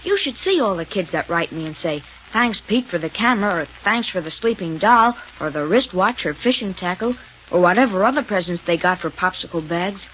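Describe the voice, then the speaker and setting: An actress playing an excited, wholesome, wide-eyed kid gushing in an old-time radio advert. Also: female voice, fairly low pitch, fairly quick. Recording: faintly noisy old radio recording.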